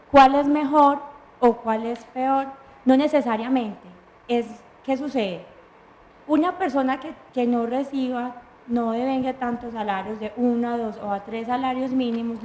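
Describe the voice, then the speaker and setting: A woman's voice: a little high, slight background noise, low-quality recording. A woman with a Colombian accent, a lawyer explaining pensions patiently and point by point on a studio talk show. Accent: Colombian Spanish. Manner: patient, methodical, lists points and answers her own questions.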